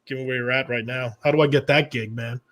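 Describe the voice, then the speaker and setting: A man's voice: medium pitch, steady tone, fast talker, a bit bright. A man in a casual chat who asks curious, jokey questions.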